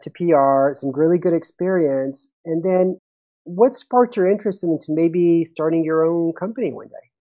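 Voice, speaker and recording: A woman's voice: low-pitched, moderate pace, dark tone. A woman hosting an interview, asking curious, encouraging questions. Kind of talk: conversational interview.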